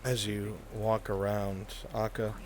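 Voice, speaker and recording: A man's voice: on the low side, level tone, slow, background noise. A man narrating an improvised roleplay scene to players as the game master.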